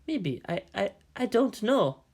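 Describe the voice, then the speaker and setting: A man's voice: high-pitched, sing-song, takes it slowly, creaky, slightly rough, pitched above the performer's own voice, exaggerated voice. A man voicing a shaken, deferential ambassador in a story reading, hesitant and mumbling. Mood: uncertain.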